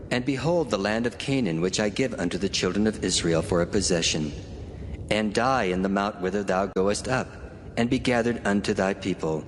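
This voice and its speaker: Man as the Lord, low solemn divine voice in a vast reverberant hall. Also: a little hiss or hum, close to an everyday voice. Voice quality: solemn, commanding. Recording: long hall reverb, faint ambience.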